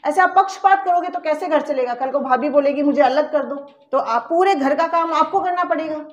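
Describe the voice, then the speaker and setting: A woman's voice: high voice, brisk, roomy sound, natural voice. A woman playing an earnest young working daughter-in-law who lectures her mother-in-law about fairness in a family skit. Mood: lecturing. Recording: dull lo-fi recording.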